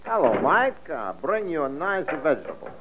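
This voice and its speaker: An actor playing a hot-tempered, lovestruck vegetable farmer who speaks broken English, in a radio play. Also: male voice, fairly high pitch, huge pitch swings, moderate pace, background noise. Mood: cheerful. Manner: broken English, impulsive and gushing. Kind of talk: 1940s radio acting. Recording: muffled old radio recording, faint music.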